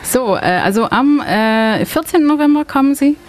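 A woman from Belgium hosts a relaxed radio chat in German, which is not her first language. She talks in a friendly, searching way, filling pauses with small hesitations. She speaks from a radio studio. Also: sits high, a little hiss or hum. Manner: chatty, hesitant with many fillers. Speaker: radio host. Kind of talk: casual radio interview.

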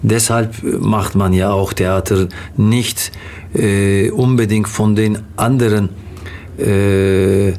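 A middle-aged man from Turkey with a Turkish accent, answering interview questions haltingly and pausing to search for words. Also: on the low side, steady tone, dark tone. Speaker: interview guest, theatre actor.

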